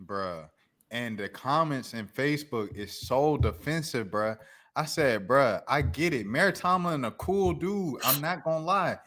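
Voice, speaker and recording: A man's voice: mid-range voice, expressive, a little slow, a bit bright. A young man hosting a podcast in casual, easygoing chat full of slang. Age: young adult. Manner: easygoing, chuckling, drifts into slang. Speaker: podcast host.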